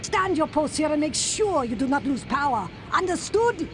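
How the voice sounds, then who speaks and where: A woman's voice: high voice, big pitch swings, normal speed, noisy background. A woman voicing a stern, devoted occult military officer in a video game, commanding and fervent.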